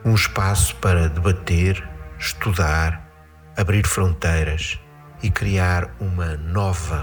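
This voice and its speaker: Homem de voz grave, narração com música. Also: male voice, deep voice. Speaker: promotional video narrator. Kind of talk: scripted narration. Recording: music behind the voice. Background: music.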